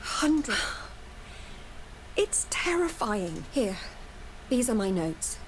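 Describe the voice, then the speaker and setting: A woman's voice: a little high, very animated, very slow, slight background noise, heavily acted, poor audio. Guarded, serious female scientist. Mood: grave. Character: guilt-troubled female scientist, guarded but dutiful. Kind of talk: video game dialogue acting.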